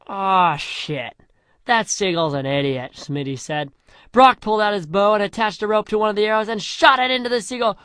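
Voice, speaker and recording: A young man reading a crude silly fan story aloud in a deadpan way, slowing down for dramatic chapter titles. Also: high-pitched, no echo, studio quality. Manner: deadpan crude comic narration with dramatic slowdowns.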